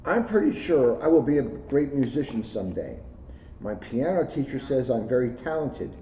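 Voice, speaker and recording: A man, a police officer, reading a children's book aloud with jokey, expressive storytelling and casual asides. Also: medium pitch, normal speed. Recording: roomy echo, muffled audio, faint noise.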